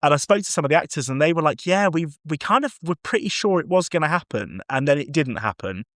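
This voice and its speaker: A man's voice: a little high, lively intonation, fast talker, no echo, clean studio sound. A man talking casually and enthusiastically as a guest on a review podcast, effusive in his opinions.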